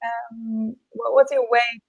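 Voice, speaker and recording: A woman's voice: a little high, flat delivery, takes it slowly, gravelly, faint music behind, poor audio. A woman hosting a live online interview, hesitant with many fillers, German as her first language. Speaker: interviewer, live show host.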